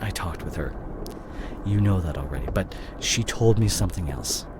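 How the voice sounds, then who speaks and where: A man acting in an audio roleplay as a guilt-ridden disgraced CEO boyfriend, speaking to his partner in a halting, emotional way. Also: sits low, expressive. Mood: uneasy explaining. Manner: halting, emotional, repeats and breaks off words. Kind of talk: dramatic audio roleplay monologue addressed to the listener. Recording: clear vehicle noise behind the voice. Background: car ambience.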